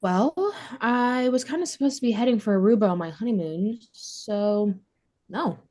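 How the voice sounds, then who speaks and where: A woman's voice: medium pitch, moderate pace, dark tone, close to an everyday voice. A woman acting a sheltered, earnest, unsure young runaway bride in a sitcom table read. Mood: subdued, wistful.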